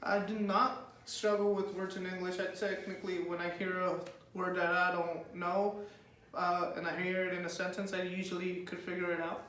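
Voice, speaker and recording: A young man whose first language is Spanish gives hesitant, filler-laden answers in a high, bright voice that stays fairly flat in pitch. He is recorded from a distance in an echoey room with faint background noise. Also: moderate pace. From United States. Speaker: interviewee. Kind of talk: casual interview answers.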